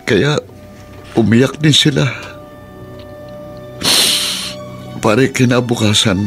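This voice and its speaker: Gravelly, heartbroken Filipino father. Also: mid-range voice, unhurried, a bit bright. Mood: pained. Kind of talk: Filipino radio drama acting. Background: background music.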